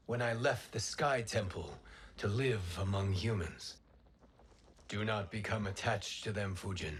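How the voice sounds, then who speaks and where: A slow, solemn wind god. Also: male voice, on the low side, fairly bright tone. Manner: measured, formal, solemn. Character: calm, wise male wind god. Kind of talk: video game cutscene acting.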